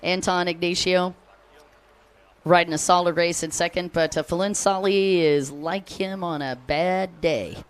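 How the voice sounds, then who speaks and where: A woman's voice: fairly low pitch, steady tone, measured pace, clean tone. An American woman giving live race commentary, chatty and upbeat, calling out riders and greeting fans between lap updates. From United States.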